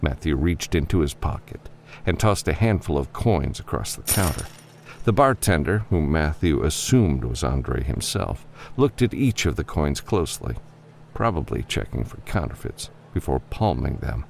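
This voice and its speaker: Very deep, gravelly male storyteller. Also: big pitch swings, normal speed, a little hiss or hum. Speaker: audiobook narrator. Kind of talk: scripted fiction narration with character voices.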